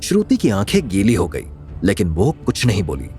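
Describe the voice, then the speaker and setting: A man's voice: lowish voice, huge pitch swings, moderate pace, a little mellow. A man narrating a scripted audio drama in a dramatic storytelling style. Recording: music under the voice.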